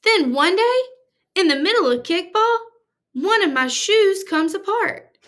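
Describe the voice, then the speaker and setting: A woman teacher reading a storybook aloud to children in an expressive, deliberate, explanatory manner. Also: very high voice.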